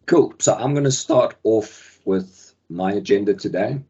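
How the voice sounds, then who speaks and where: A man hosting a software webinar over a video call, his voice croaky from the flu, talking in a relaxed way with many ums. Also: lowish voice, a little slow, highs cut off. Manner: relaxed, many ums, friendly filler words. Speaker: webinar host and product manager. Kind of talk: casual software webinar presentation. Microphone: video call microphone.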